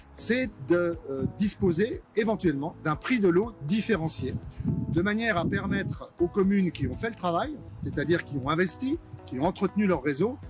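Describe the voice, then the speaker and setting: A man speaking as a local government official, giving a prepared institutional statement in a measured way with frequent hesitations. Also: high voice.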